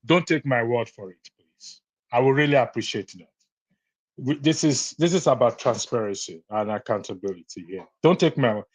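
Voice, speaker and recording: A man's voice: medium pitch, lively intonation, moderate pace, slightly rough. A Nigerian man on a call-in broadcast, firmly insisting that his point is on record. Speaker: caller on a live broadcast. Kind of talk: heated call-in debate.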